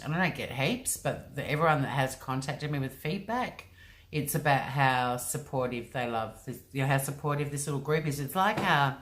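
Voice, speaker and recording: Woman, very deep voice, chatty livestream host, rambling, lots of ums and likes, home computer mic. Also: a bit bright. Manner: chatty, rambling, often says um and like. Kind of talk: casual livestream chat reading comments.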